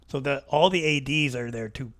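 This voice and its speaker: A man's voice: mid-range voice, normal speed, fairly bright tone. A man co-hosting an interview, discussing things in a casual, conversational way.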